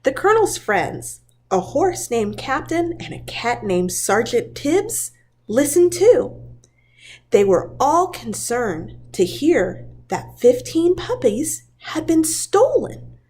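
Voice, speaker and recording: A woman who works as a preschool teacher, reading a children's story aloud in a dramatic, deliberate storytelling manner. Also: high-pitched, sing-song, a little mellow, breathy edge.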